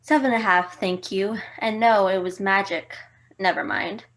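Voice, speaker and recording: A woman's voice: medium pitch, normal speed, a bit bright, close to an everyday voice, low-quality recording. A young woman playing a prim, lovestruck fairytale princess in a comic stage play. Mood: huffy. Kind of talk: comic stage acting over video call.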